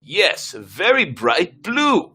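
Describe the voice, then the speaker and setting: A man's voice: high voice, wildly swooping pitch, takes it slowly, sharp tone, breathy edge, pitched above the performer's own voice, heavily acted. A man performing bold, stubborn starship crew in a sci-fi dramatic reading, emphatic and melodramatic. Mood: tense, awed.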